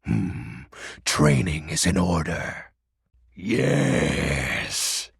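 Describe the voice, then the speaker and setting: Raspy, theatrical lord of darkness. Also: male voice, rumbling low, sing-song, extremely slow, no room sound, studio quality, heavily acted. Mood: haughty, scheming. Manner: pompous, theatrical, archaic grandiose diction. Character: melodramatic, self-styled male dark lord, personification of darkness. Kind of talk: video game character voice acting.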